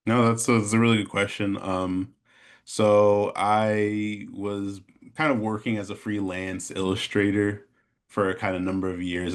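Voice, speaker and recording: Very low, even-toned American man. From Chicago, American Midwest. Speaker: interview guest, animation studio founder. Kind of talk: casual chat, telling his story.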